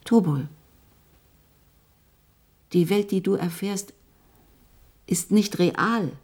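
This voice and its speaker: A woman playing an earnest, imploring scientist in a science fiction radio drama, with a husky, creaky voice and short measured phrases. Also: mid-range voice, darkish tone. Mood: urgent, imploring. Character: earnest, pleading female scientist coaxing a distrustful being.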